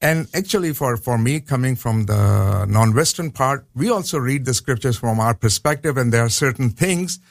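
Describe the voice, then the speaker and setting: A man's voice: medium pitch, expressive, moderate pace, gravelly, hardly any pauses, dry recording. A man with a Pakistani accent talks as a guest professor on a radio program. His delivery is earnest and explanatory, and he comes back to his points to stress them. The studio sound is dry and close. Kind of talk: radio interview.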